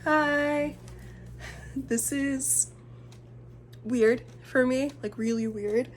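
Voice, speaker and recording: A young woman talking casually to her camera, self-conscious and hesitant, with many fillers and pauses. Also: sits high, noisy background. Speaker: vlogger. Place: indoor room. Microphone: camera mic.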